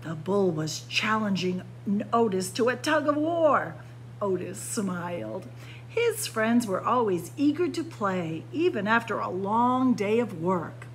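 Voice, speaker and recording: A woman's voice: medium pitch, animated, takes it slowly, slight background noise, rough recording. A woman reading a children's picture book aloud like a story-time librarian, expressively, with dramatic emphasis on key words.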